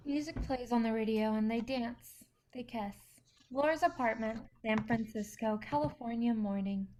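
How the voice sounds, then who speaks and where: A woman's voice: a little high, normal speed, a little mellow, slight background noise. A woman reading stage directions as scripted narration for a play reading.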